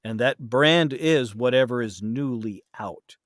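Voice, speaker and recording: A man in a casual podcast conversation, explaining things and giving his opinions in an animated way. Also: medium pitch, measured pace, no room sound, clean studio sound. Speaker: podcast guest, voice actor.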